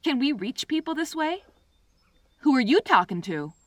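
A woman's voice: high voice, very animated, normal speed, no room sound. A woman playing a curious, chirpy young girl in a radio play. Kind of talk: radio drama acting.

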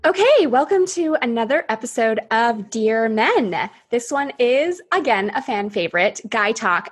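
Woman podcast host, husky tone, upbeat and laugh-prone, casual chat. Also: a little high. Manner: upbeat, laughs often, many fillers.

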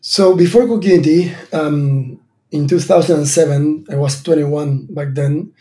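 A man's voice: fairly high pitch, steady tone, unhurried, dark tone. A man in his thirties from Argentina, a startup founder answering interview questions thoughtfully, with many fillers and restarts. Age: 30s.

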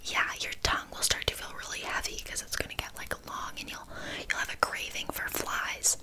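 Flaky, scammy witch for whispered ASMR roleplay, played by a woman: soft breathy whisper, halting casual rambling, blithely unbothered. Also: close to an everyday voice. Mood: breezy, unbothered.

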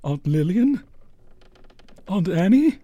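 A man playing a frightened, high-strung writer in a melodramatic radio drama. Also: big pitch swings, measured pace, husky, dry recording, lo-fi sound, exaggerated voice. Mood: apprehensive. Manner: overwrought, melodramatic. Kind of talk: theatrical radio drama acting.

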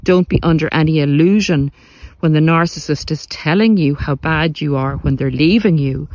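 A man giving a motivational spiritual talk as a podcast host, speaking earnestly and deliberately, with repeated phrases for emphasis. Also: a little high, a little mellow, no room sound. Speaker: podcast host, narcissistic abuse recovery coach.

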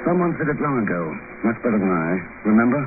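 An actor playing a composed, urbane male secret agent with a calm, measured delivery in 1950s radio drama style. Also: medium pitch, lightly acted. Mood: grave, reflective. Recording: muffled, noisy 1950 radio recording.

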